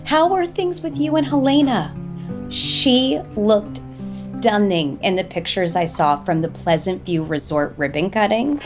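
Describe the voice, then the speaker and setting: A woman's voice: mid-range voice, expressive, measured pace, close to an everyday voice. An actress playing a driven, busy businesswoman and guarded company co-owner in an audio soap opera, polite and businesslike. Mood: cordial. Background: music under the dialogue.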